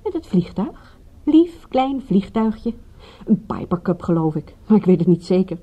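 A woman's voice: mid-range voice, big pitch swings, measured pace. An actress playing a coy, teasing woman in a radio drama, playful and evasive, on a dull lo-fi recording.

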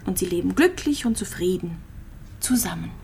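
An actress playing a terminally ill patient in a radio play, musing in a plain, halting, intimate way, resigned yet clinging to hope. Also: female voice, lowish voice, animated, creaky, darkish tone, a little hiss or hum. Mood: wistful. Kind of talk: radio drama monologue, intimate and naturalistic.

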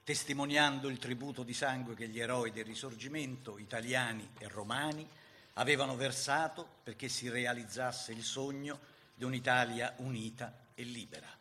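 A man's voice: medium pitch, fairly even intonation, normal speed, bright, forceful tone, slight background noise. A man giving dramatic scripted historical narration as a stage narrator.

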